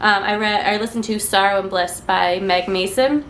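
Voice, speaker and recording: A woman's voice: medium pitch, fairly even intonation, moderate pace, a bit bright, echoey room, slight background noise. A young woman with a congested, nasal voice chatting casually about books she read, gushing with lots of ums and likes. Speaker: book vlogger. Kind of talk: casual book review chat.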